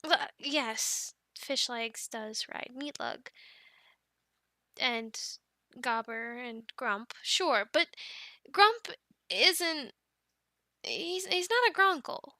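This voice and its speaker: A woman acting as a cheerful young female Viking dragon keeper in an audio roleplay. Her voice is high and bright, and she takes her time. She chats to the listener and coaxes her animals with tender affection. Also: animated, drawn-out words, long pauses, no echo, exaggerated voice. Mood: chatty, explaining. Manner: chatty, affectionate coaxing and explaining. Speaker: amateur audio roleplay performer.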